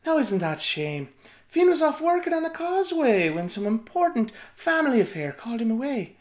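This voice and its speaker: A storyteller doing a clever giant's wife from a children's storybook, coaxing in a honeyed lilt. The voice rises high and lively as she sweet-talks. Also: moderate pace.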